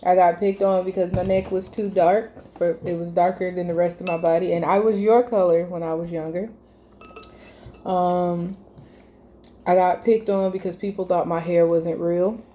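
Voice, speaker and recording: A woman in her thirties speaks in a casual home chat with her daughter. Her voice sits a little low, with a very dark, mellow tone. She talks in a loose, easygoing way and teases and laughs often. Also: steady tone, normal speed, a little hiss or hum. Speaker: mother interviewing her daughter.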